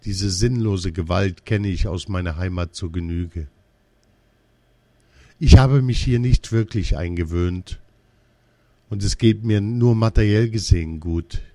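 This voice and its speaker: A man reading a first-person testimony aloud in a slow, measured, earnest way. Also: low voice, warm tone, no echo.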